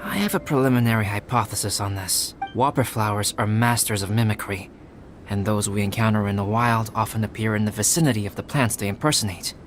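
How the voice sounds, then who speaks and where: Guy voicing calm brainy young alchemist man from a fantasy game, deep-ish voice, measured n formal, music clearly behind. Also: natural voice. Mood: calm, analytical. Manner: measured, formal, scholarly explaining. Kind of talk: video game voice acting. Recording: loud background music under the voice.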